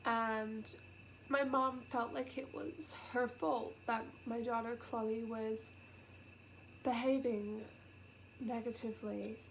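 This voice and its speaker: A woman's voice: a little high, fairly even intonation, slight background noise, low-quality recording. Congested, teary woman vlogging. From United Kingdom. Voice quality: sniffly, nasal. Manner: halting and soft-spoken, with many pauses and fillers. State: congested with a cold, close to tears. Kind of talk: emotional personal vlog. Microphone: camera.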